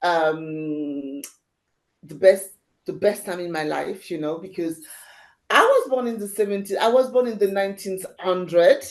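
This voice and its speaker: A woman's voice: fairly low pitch, measured pace. A woman in her fifties, born in Haiti and raised in France, chatting animatedly as an interview guest, laughing often and restarting her sentences. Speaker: guest, agency founder. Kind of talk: casual interview chat.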